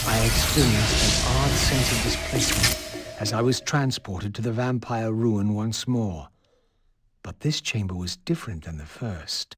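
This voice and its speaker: A man's voice: fairly low pitch, fairly bright tone, husky, full character voice. An actor playing a brooding undead wraith, once a noble vampire, narrating his quest in grave, formal language for a game. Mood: solemn. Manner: formal, grave, literary phrasing. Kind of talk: scripted dramatic narration. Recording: music behind.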